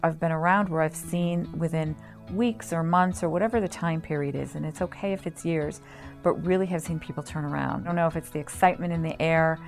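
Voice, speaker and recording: A woman with a low voice explains an organization's services in an interview style. She comes across as earnest, like a staff member presenting the work, with faint music underneath. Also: fairly even intonation, fairly quick. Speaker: staff representative of a community health organization.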